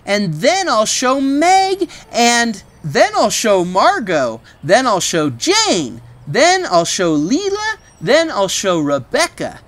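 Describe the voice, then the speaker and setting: A woman voicing an excitable little storybook girl, exclaiming in drawn-out, sing-song phrases. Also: fairly high pitch, pitched above the performer's own voice, heavily acted. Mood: boastful excitement. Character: excitable little girl showing off her stuffed bunny.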